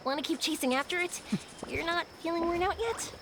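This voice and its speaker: A woman's voice: very high voice, a little fast, background noise. A woman voicing a kindly, playful and encouraging young woman in a video game.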